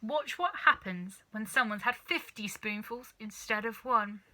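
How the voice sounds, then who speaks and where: A gleeful, grinning husband from a children's storybook, put on by a woman reader. He sounds mischievous and smugly amused, with a bright, forceful delivery. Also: a little high, a little slow, low-quality recording. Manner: amused, pleased with himself.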